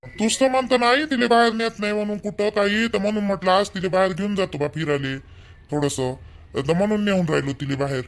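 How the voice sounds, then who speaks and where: Man acting as young cartoon village husband, high male voice, Vidarbha Marathi accent, blunt, bossy, impatient. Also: brisk. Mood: matter-of-fact.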